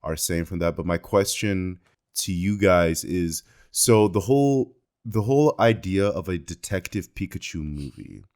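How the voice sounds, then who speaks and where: A man co-hosting a podcast, talking casually about films in a measured, inquisitive way as he feels out his points. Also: low voice, expressive, darkish tone. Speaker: podcast co-host. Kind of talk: casual film discussion chat.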